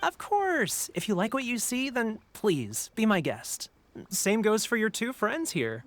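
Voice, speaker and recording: A lively, swooping male voice for an obliging game stall keeper. He is cheerful and deferential, and there is faint noise behind him. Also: high voice, unhurried, full character voice. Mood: cheerful, welcoming. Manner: chirpy, deferential, eager to please. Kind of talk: video game voice acting.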